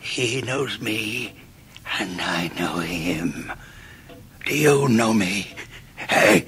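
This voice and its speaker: An English actor playing a tyrannical middle-aged headmaster in a radio drama, with a hoarse rasping whisper and a slow, threatening emphasis. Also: male voice, on the low side, lively intonation, background noise. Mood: quietly menacing. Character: menacing, tyrannical middle-aged male boarding-school headmaster. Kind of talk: 1990s British radio drama acting.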